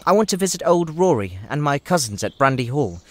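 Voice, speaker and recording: A man voicing a genial older gentleman hobbit, courteous, musing and whimsical, in an audio drama. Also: fairly high pitch, very animated, a little fast, a little hiss or hum. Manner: courteous, musing, hesitant openings. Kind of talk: audio drama character acting.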